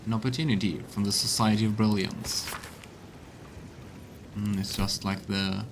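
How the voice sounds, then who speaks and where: A man's voice: fairly low pitch, steady tone, moderate pace, noisy background. A man giving casual, reactive commentary as he plays through a fantasy video game on stream. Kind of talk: voiced let's play commentary, casual reactions mixed with reading dialogue.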